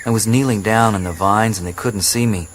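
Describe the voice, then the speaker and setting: A man's voice: fairly low pitch, brisk, a little hiss or hum, natural voice, rough recording. A man playing a blunt, sarcastic companion. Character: blunt, skeptical male companion. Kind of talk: radio drama acting. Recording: jungle ambience with insects and birds.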